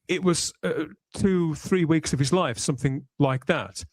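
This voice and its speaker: A man hosting a podcast with conversational commentary, speaking in articulate, measured, storytelling phrases. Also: fairly high pitch, a little mellow, no echo, clean studio sound. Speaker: podcast host.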